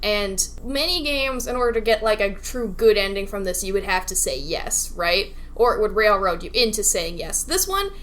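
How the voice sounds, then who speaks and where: A woman's voice: medium pitch, expressive, moderate pace, hardly any pauses, fairly bright tone. A woman chatting casually as a podcast co-host about a video game, often giggly as she talks. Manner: giggly, laughs readily while talking.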